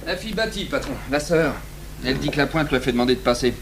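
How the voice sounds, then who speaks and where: A man's voice: on the high side, lively intonation, fast. An actor playing a busy, matter-of-fact male police officer, speaking in a clipped, businesslike way in a French radio drama. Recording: faint noise, old radio recording.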